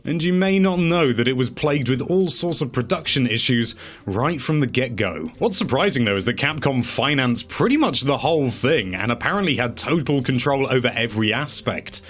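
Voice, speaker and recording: A man with a very lively, swinging pitch delivers casual commentary as a video presenter. He sounds chatty and wry, with a tongue-in-cheek edge to his remarks.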